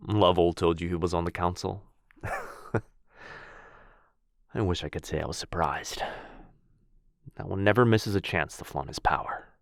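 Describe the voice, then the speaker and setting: A man voicing a gentle, courtly male vampire lord in an ASMR boyfriend roleplay. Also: sits low, vocal fry, dry recording, studio quality. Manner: soft-spoken, courtly and reassuring. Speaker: voice actor.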